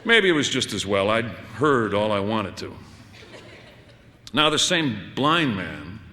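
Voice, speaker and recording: A man's voice: lowish voice, sing-song, slow pace, sharp tone, in a large hall, slight background noise. A man narrating a first-person literary story in a conversational, wry, dramatic way as an audiobook reader.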